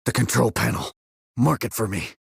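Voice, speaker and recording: A man playing a gruff, demanding military operative in a video game, raspy and gravelly, with curt delivery. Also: mid-range voice, moderate pace, dry recording, clean studio sound, exaggerated voice. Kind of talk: dramatic game dialogue.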